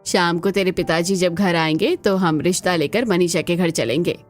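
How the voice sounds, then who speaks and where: A woman's voice: on the low side, fairly even intonation, normal speed, soft background music, natural voice. A woman voicing a demanding, proud middle-aged mother-in-law in a family cartoon, firm and emphatic. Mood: stern.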